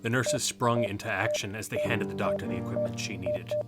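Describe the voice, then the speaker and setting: Man acting a low-voiced, haunted reaper narrator. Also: huge pitch swings, fairly quick. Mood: grave narrating. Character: haunted, troubled male reaper with a forgotten past, narrating. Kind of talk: first-person narration and dialogue in audio drama. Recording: music behind the voice.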